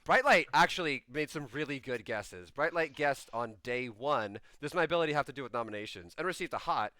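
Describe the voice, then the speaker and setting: A man's voice: medium pitch, animated, fairly quick, bright, forceful tone. A man running a social deduction game who explains his rulings at length in casual chat and laughs often.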